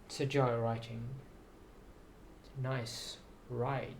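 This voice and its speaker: Slow, halting man with background noise. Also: mid-range voice, little pitch movement. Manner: halting, repeats words. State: sore throat, slight fever. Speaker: writer introducing and reading own story. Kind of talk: slow rambling video monologue.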